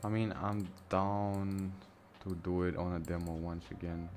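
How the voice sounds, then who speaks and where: A man's voice: low voice, little pitch movement, unhurried, a little mellow, a little hiss or hum. A young man with a Romanian accent chatting casually through a live trading stream, thinking aloud and swearing freely. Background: music and mouse clicks.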